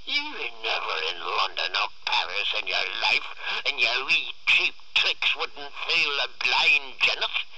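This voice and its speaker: A man voicing a drunken, belligerent father who taunts in a drawn-out roar, in a storytelling reading. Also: medium pitch, big pitch swings, bright, forceful tone, breathy edge, dry recording, pitched above the performer's own voice, heavily acted, poor audio. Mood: roaring, taunting. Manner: roaring, taunting, drawn-out delivery.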